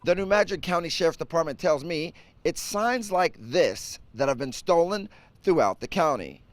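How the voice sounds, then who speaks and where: A man with an American accent delivering scripted broadcast news as an anchor and field reporter. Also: on the high side.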